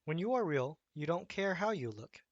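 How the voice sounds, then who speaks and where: Man as a gentle, wise old toy horse. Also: a little high, very animated, moderate pace, no echo, dull audio, voice pushed up.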